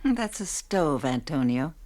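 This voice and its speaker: Woman acting as tired mother, low pitch, patient, long-suffering, earnest, subdued, radio play. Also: big pitch swings, slow, a little mellow, exaggerated voice. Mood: tired patience.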